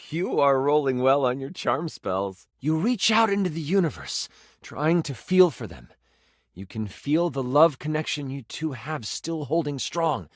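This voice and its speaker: An eager male game master tells a fantasy tabletop adventure for a video game. His pitch sits on the high side and swings widely as he builds drama. The recording is dry and close, with a theatrical storyteller's delivery. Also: dry recording. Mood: casual aside. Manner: dramatic, expressive, with aside remarks.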